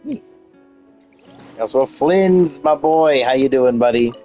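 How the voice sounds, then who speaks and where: A man's voice: medium pitch, slow pace, warm tone. A man giving casual, rambling and playful commentary as he plays through a video game. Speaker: gameplay streamer narrating a playthrough. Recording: music playing behind the voice. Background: video game music.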